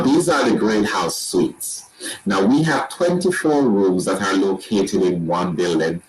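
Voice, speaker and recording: A man with a Jamaican accent and a very deep voice gives a laid-back sales tour of a resort. His talk is easygoing and full of restarts, and faint noise hums under the recording. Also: poor audio. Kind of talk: presenting a slideshow tour.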